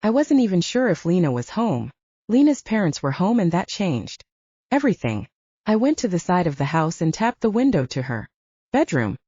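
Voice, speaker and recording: A woman narrates a story in a dramatic, expressive style. Her voice is a little mellow and faintly husky, moving widely in pitch. The audio is lo-fi. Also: moderate pace, no room sound.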